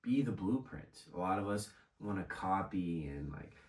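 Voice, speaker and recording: Echoey, deep-voiced young man. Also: animated, moderate pace. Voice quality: slightly raspy. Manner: conversational, encouraging. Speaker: host of a motivational video series. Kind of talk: casual motivational vlog talk. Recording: echoey room, distant microphone.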